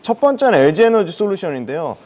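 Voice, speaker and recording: A man's voice: high-pitched, big pitch swings, quick pace, darkish tone. A man giving stock commentary as a guest on a broadcast, explaining his picks politely.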